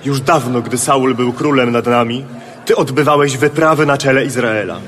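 Actor as solemn elder in reverberant hall. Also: male voice, medium pitch, moderate pace, a bit bright, close to an everyday voice. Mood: solemn homage. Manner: declamatory. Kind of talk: audio Bible radio drama acting.

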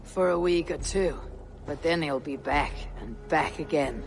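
A woman's voice: low-pitched, unhurried, soft background music. A woman playing a stern, steadfast Viking warrior in a video game cutscene. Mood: resolute. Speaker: game character actor.

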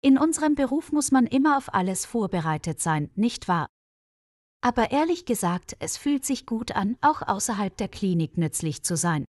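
A woman voicing a reserved, thoughtful male nurse in a storybook, in a measured, sincere way. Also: mid-range voice, sing-song, a little mellow, no room sound, clean studio sound, close to an everyday voice. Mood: content. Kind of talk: storybook dialogue reading.

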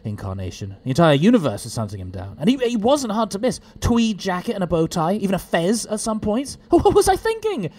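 A man playing an eccentric, good-natured time-travelling alien adventurer in an audio drama, hesitant, stammering and excitable. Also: high-pitched, a little fast, few pauses, full character voice. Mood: animated, amused.

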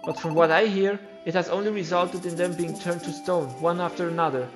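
A high-voiced man, not a native English speaker, acts out the lines of a video game story. His delivery is theatrical and a little halting, and music plays clearly behind him. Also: fairly even intonation. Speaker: game playthrough creator voicing all characters. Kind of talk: acted read-aloud of video game dialogue.

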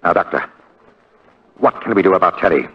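A man's voice: sits low, animated, fairly quick, slightly rough, exaggerated voice. An actor playing a shifty, uneasy older man, an insistent uncle, in 1940s radio drama style. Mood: conspiratorial. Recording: dull 1940 radio recording.

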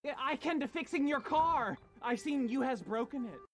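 A man playing a naive, friendly misfit alien with oddly mangled grammar in an animated film. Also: very high voice, normal speed. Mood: pleading.

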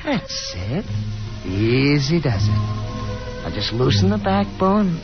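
An actor playing a breezy, confident young gentleman caller who chats in a hearty, coaxing way in a 1950s radio drama. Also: on the high side, big pitch swings, takes it slowly, heavily acted. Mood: playful, coaxing. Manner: hearty, slangy, encouraging chatter. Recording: dull 1950s radio broadcast, music behind.

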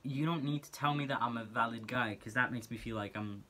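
A teenage guy with a Norwegian accent and a bright, clear-toned voice at an ordinary pitch talks straight to the camera in his small bedroom. He speaks earnestly and casually, stringing thoughts together with plenty of filler words, as a second-language English speaker. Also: fairly quick. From Norway. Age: teens. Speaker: vlogger.

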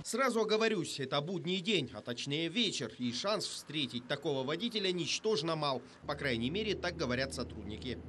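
A man's voice: on the high side, very animated, brisk, few pauses, noisy background. A male reporter reading a scripted news voice-over with a wry, ironic touch.

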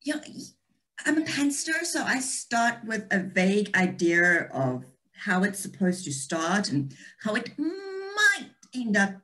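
A woman's voice: mid-range voice, big pitch swings, slow pace, sharp tone, poor audio. A woman, chatting casually and playfully as an interview guest over a video call from a room at home. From South Africa and Austria. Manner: wry, playful. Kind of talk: casual chat.

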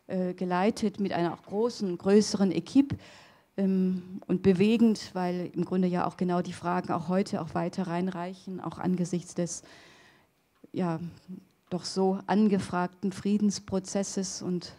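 A middle-aged woman giving an academic lecture in a hall on a handheld microphone, pausing often with fillers and restarts. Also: lowish voice, mellow. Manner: hesitant, many fillers and restarts. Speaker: theology lecturer.

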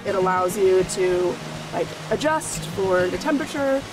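A woman's voice: mid-range voice, expressive. A woman chef speaking casually in an interview soundbite, dropping in frequent likes. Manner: casual, uses "like", quickening. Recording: distant mic with music.

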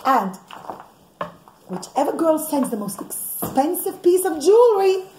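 A woman's voice: high voice, sing-song, measured pace. A middle-aged woman telling a story to children, explaining as she goes and acting out what characters think. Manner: explanatory, addresses listeners as children, acts out characters' thoughts. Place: indoors, living or dining room at home. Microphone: camera or phone on the table, about a metre away. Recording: echoey room, background noise, clicks.

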